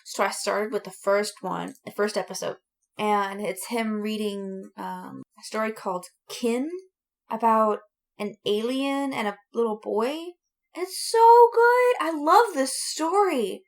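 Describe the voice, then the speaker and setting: A woman's voice: a little high, lively intonation, measured pace. A woman co-hosting a podcast, talking in an informal, enthusiastic and unscripted way. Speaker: podcast co-host. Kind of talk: casual chat about stories and media.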